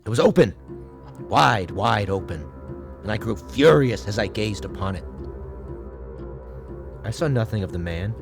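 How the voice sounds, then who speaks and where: An actor playing an obsessive madman who confesses to murder in intense, theatrical gothic narration, swinging between hushed and loud delivery. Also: fairly low pitch, animated, a little slow, gravelly, long pauses, music in the background. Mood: furious. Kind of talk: dramatic first-person gothic narration, audio drama.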